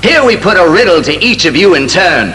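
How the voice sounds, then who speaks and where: High-pitched hammy game show host. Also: male voice, sing-song, soft background music, heavily acted, poor audio. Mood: showy, upbeat. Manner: hammy, drawn-out announcer shouts. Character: showy, patronizing male game show host. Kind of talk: audio drama acting as quiz show emcee.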